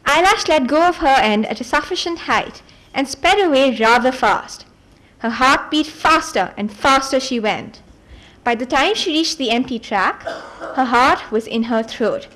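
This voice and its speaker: A woman reading a fantasy novel aloud at a book event, in an expressive storybook manner with the odd stumble over words. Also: high-pitched, measured pace, poor audio.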